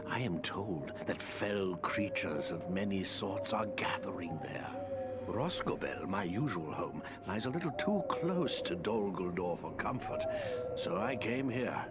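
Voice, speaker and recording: An actor playing a kindly, eccentric old woodland wizard in a fantasy game, chatty and expressive. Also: male voice, on the low side, very noisy. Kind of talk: fantasy game character acting. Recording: loud music under dialogue.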